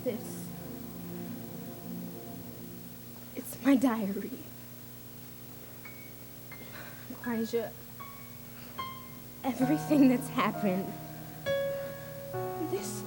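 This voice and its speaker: A woman acting a confused, frightened sixteen-year-old girl in an emotional stage drama, halting and pleading in her delivery. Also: high-pitched, rough recording. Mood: anguished, hesitant. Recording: music behind the voice.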